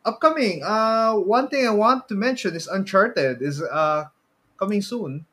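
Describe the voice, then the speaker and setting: A man co-hosting a casual podcast chat, feeling out his phrases haltingly with repeated words. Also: high-pitched, fairly bright tone. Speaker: podcast co-host. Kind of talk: casual chat about movies and games.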